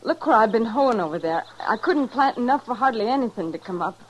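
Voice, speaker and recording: An actress playing a weary, downtrodden homesteader wife with a rural American accent, plaintive and meek, in an old-time radio western. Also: female voice, fairly high pitch, expressive, brisk, lo-fi sound. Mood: pleading, weary. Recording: noisy vintage radio recording.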